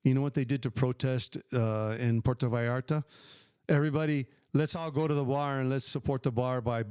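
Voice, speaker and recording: An older American man, retired, hosting a casual live stream and answering viewer questions in a relaxed, rambling way. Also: medium pitch, brisk, clean tone, dry recording, clean studio sound. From United States. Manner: conversational, many fillers, answers viewer questions by name.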